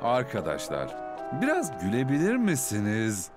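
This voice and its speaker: A man voicing an excitable, exasperated film director in a children's cartoon dub, calling out short commands. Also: mid-range voice, moderate pace. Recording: music behind the voice.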